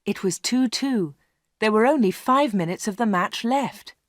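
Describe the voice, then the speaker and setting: Woman reading a learners' story, clear. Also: medium pitch, animated, no room sound, studio quality. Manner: clear and measured. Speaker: audiobook narrator. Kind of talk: slow read-along storytelling for learners.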